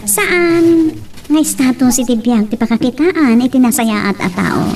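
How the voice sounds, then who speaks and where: A woman playing a warm young policewoman and loyal daughter in a radio drama, with an Ilocano Filipino accent. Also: high-pitched, a little slow, far from the mic, a little hiss or hum. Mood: warm, grateful.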